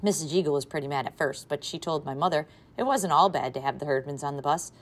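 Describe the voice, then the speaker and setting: A woman teacher reading a children's novel aloud to a class, with expressive, varied loudness. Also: sits low, fast talker. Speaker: third grade teacher.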